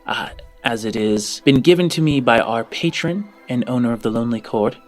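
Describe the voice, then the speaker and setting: A man voicing a chatty, deferential orphanage headmistress in a tabletop game, explaining things in a halting way. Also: medium pitch, expressive, soft background music, lightly acted. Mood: businesslike.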